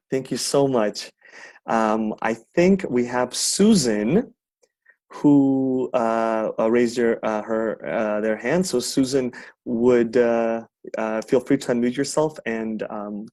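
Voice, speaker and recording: A man's voice: mid-range voice, slow, darkish tone, dry recording. Hesitant man moderating a video call. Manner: hesitant, many fillers and restarts. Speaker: event co-host, moderator.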